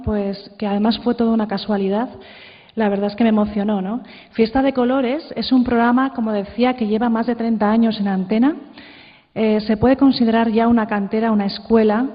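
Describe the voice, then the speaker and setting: A woman from presenting informally at a conference, halting and conversational, often adding tag questions. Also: medium pitch. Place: large hall at a conference. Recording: muffled, reverberant hall sound.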